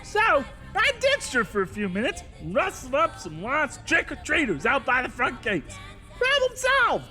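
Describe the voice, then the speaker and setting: A woman's voice: sits high, huge pitch swings, measured pace, sharp tone, faint music behind, heavily acted. A woman playing an eager, oblivious crypt minion in a comic horror audio drama, chirpy and blithely unbothered. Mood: chipper, oblivious.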